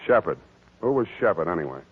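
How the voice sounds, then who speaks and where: A hardboiled private detective of 1940s radio drama. His low voice moves in lively swings, sardonic and mock-formal. The sound is a narrow vintage broadcast. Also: fast talker. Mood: brisk, hard.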